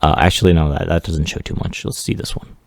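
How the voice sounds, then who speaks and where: A man giving a casual, unscripted software walkthrough as a 3D artist, rambling along in a self-deprecating way. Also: extremely low pitch, fairly even intonation, quick pace, gravelly, no room sound. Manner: rambling, self-deprecating, chuckles at own remarks. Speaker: 3D artist presenting a demo.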